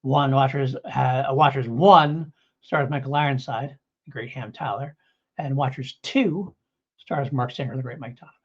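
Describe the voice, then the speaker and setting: A middle-aged man chats as the host of a review podcast. His voice is husky and mid-pitched, and he rambles casually, correcting himself along the way. The audio is a little dull and lo-fi. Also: moderate pace.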